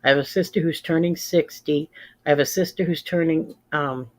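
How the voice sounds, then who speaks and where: A woman's voice: low-pitched, steady tone, moderate pace. Woman, fifties, livestream host, casual chat, plain-spoken, emphatic delivery. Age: 50s. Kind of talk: casual chat with live viewers.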